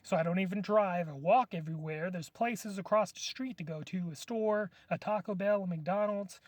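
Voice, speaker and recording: A man's voice: sits high, normal speed, dry recording. A man on a live stream, chatting casually and hesitantly, often restarting his words. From California.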